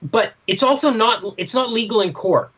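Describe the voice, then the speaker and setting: A man's voice: high-pitched, animated, moderate pace, fairly bright tone, breathy edge, muffled recording. A man co-hosting a casual discussion podcast, thinking aloud in broken, questioning phrases. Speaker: podcast co-host. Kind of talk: casual discussion of a graphic novel.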